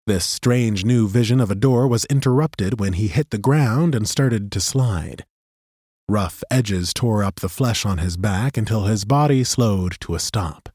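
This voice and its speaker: Low husky male audiobook narrator. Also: dry recording, studio quality. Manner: measured, steady storytelling. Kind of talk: scripted fantasy narration.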